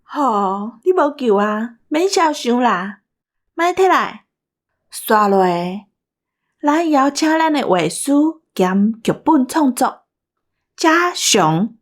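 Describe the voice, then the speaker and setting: A woman's voice: on the high side, sing-song, slow. A woman with a Taiwanese accent co-hosting a casual special, bossy and teasing in her banter. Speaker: co-host of a radio drama cast special.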